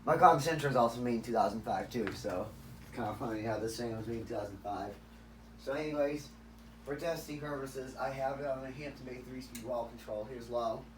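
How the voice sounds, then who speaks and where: A man with a raspy voice rambling through a casual, off-the-cuff demonstration, correcting himself and losing his train of thought. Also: mid-range voice, fairly quick, far from the mic, a little hiss or hum, poor audio. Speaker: ceiling fan collector demonstrating a fan. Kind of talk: casual off-the-cuff video narration.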